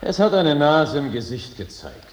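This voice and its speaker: An actor playing an earthy, sly rogue judge in a 1950s German radio drama, questioning bluntly in colloquial speech. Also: male voice, on the high side, sing-song, normal speed, slight background noise. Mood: brusque, mocking.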